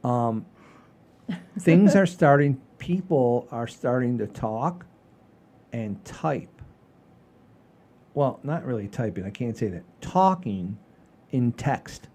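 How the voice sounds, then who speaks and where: A man hosting a comedy show in casual chat, halting and repeating phrases for emphasis. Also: medium pitch, animated, warm tone.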